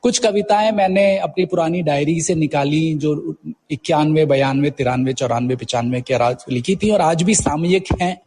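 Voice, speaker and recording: A man's voice: a little high, moderate pace, few pauses, a little mellow, slight background noise. A middle-aged man from India talking reflectively in an interview, mixing in English words and telling anecdotes conversationally. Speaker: guest poet and professor.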